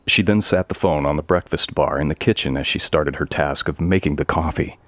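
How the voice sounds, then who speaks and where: A man's voice: very deep voice, brisk, a bit bright, dry recording. A man narrating a scripted audio story.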